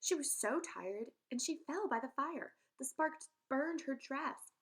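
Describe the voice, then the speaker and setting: Female teacher, expressive children's read-aloud, direct address to young listeners. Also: high voice, a little slow. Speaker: teacher reading aloud to pupils.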